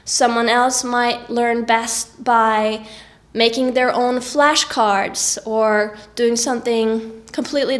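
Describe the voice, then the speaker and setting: A woman with a cold, giving encouraging tips to camera in a casual vlog. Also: a little high. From Norway. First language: Norwegian. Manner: encouraging, upbeat. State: has a cold. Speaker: vlogger.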